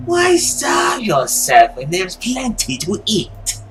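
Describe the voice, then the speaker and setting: A man voicing a taunting, menacing dream monster from a visual novel, in slow, drawn-out, theatrical speech over music. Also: high-pitched, huge pitch swings, fairly bright tone, slight background noise, voice pushed up, heavily acted, low-quality recording. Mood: mocking. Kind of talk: visual novel character voice acting.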